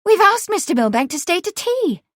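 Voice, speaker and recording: A woman voicing a girlish, excitable young upper-class lady in an audiobook, breathless and gushing. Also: very high voice, normal speed, dry recording, studio quality, pitched above the performer's own voice. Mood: anxious protest.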